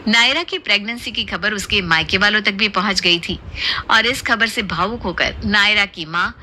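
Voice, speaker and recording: A woman delivers scripted storybook narration. Her voice is mid-pitched, sharp and very bright. A faint noise runs behind it. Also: moderate pace.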